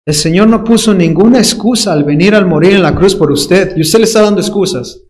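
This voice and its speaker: A man's voice: high voice, a little fast, darkish tone. A man preaching a sermon with a Dominican accent, emphatic and playful, drawing out key words and mimicking people's excuses. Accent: Dominican Spanish.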